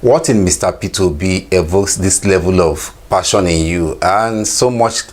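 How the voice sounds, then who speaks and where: A man interviewing a guest, asking formal, measured questions. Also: low voice.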